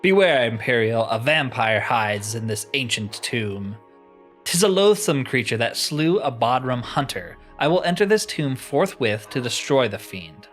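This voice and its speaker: A man's voice: mid-range voice, very animated, unhurried, fairly bright tone. Gallant fantasy game knight, voiced by a man, solemn, archaic, declamatory, music underneath. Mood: grave, resolute. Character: solemn, gallant knight vowing to destroy evil. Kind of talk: reading game dialogue aloud.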